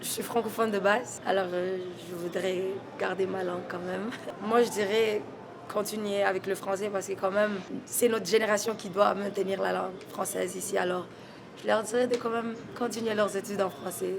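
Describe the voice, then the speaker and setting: A woman speaking in a calm, sincere way. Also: medium pitch, normal speed, gravelly, crowd noise.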